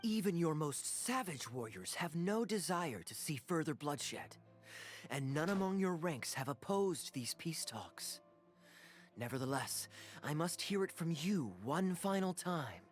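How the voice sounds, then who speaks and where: A man voicing a suave, teasing young swordsman and noble prince in a video game, with smooth, theatrical delivery. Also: a little high, sing-song, measured pace. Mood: solemn, noble. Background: background music.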